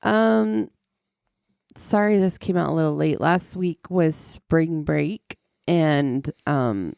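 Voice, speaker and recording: A middle-aged woman chats casually as a podcast host at an unhurried pace. Her voice is congested and lowish, full of creaky fry, and the recording is close and dry. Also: a little mellow, no echo. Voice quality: deepened by a sinus infection, with vocal fry. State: congested from a sinus infection. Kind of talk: casual chat.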